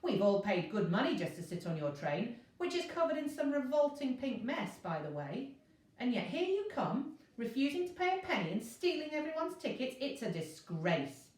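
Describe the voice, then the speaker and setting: A woman's voice: fairly high pitch, very animated, darkish tone, pitched above the performer's own voice. A woman voicing a haughty, self-righteous young female constellation spirit in a storybook reading. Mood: indignant, lofty. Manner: brisk, self-righteous, argumentative. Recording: roomy echo, distant microphone.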